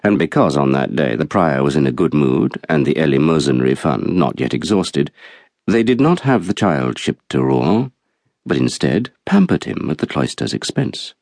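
A man reading a literary novel as an audiobook narrator, in a measured, articulate storytelling manner. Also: a bass voice, big pitch swings, creaky, a little mellow, dry recording, studio quality.

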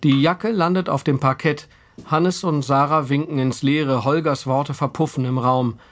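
A man narrating a scripted audio drama in a wry, measured storytelling manner. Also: fairly high pitch, steady tone.

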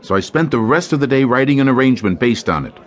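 An actor playing a scheming male jazz clarinetist in an audio drama, smooth-talking and sly. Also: medium pitch, animated, fast talker, a little hiss or hum, close to an everyday voice. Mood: curt. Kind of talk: radio drama acting.

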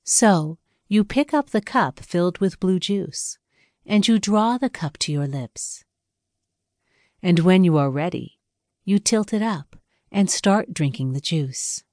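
A woman with a slightly low voice narrates a guided meditation. She speaks very slowly and soothingly, leaving space between her instructions. The sound is dry, with no room around the voice. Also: expressive, darkish tone, dry recording. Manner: measured, soothing instructional delivery with pauses.

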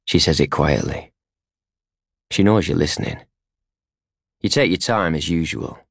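Male narrator for literary fiction audiobook. Low, deep register, clipped short phrases, very dry recording. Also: unhurried, vocal fry, no echo. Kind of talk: scripted first-hand fiction narration in second person. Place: recording studio.

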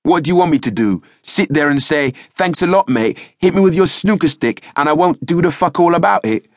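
A man voicing a defiant teenage rude boy in police custody, shouting and swearing. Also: fairly high pitch, expressive, fairly quick, no echo, clean studio sound, voice pushed up.

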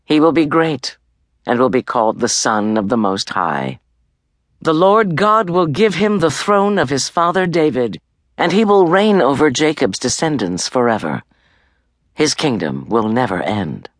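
Casting: a woman as majestic scripture angel, solemn and deliberate, sweeping intonation, dry recording. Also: rumbling low, lively intonation, no room sound, exaggerated voice. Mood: solemn, assuring. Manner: proclaiming, deliberate, stately. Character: solemn, majestic angel messenger bringing divine tidings.

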